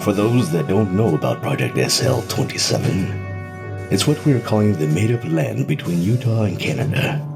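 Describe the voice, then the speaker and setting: A man's voice: low voice, expressive, normal speed, creaky, music in the background. A man playing a domineering, cynical government official in an audio drama, commanding and smugly assured.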